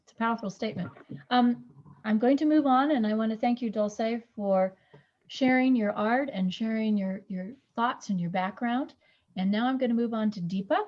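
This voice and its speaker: A woman's voice: on the high side, normal speed, dull audio. A woman hosting an art panel, encouraging the speakers and affirming them often. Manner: encouraging, many soft affirmations. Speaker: panel host. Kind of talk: moderating a panel.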